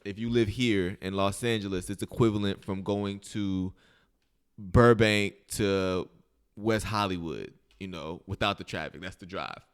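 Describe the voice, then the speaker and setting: A man's voice: low voice, steady tone, measured pace, clear, resonant tone. An American man from southern Illinois and Kentucky, telling stories as a podcast guest in casual, informal talk. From southern Illinois and western Kentucky, United States. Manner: informal, peppered with "like" and "you know". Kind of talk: casual conversational storytelling.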